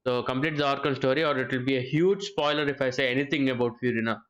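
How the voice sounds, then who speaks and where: A man's voice: medium pitch, fairly even intonation, fast talker, a bit bright. A man livestreaming a game, chatting informally with viewers and repeating his phrases as he reacts. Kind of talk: casual livestream chat and reactions.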